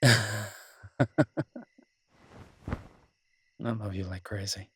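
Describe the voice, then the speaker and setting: Male actor as devoted alpha werewolf lover for romantic audio drama: low, even, intimate, teasing and protective. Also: a little hiss or hum. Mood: tender, devoted. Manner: tender, teasing, unhurried pauses.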